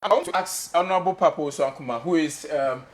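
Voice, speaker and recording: A man's voice: fairly high pitch, quick pace. A Ghanaian man hosting a studio talk show, speaking English with a Ghanaian accent, passionate and emphatic, repeating his questions and slipping into Twi. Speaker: host.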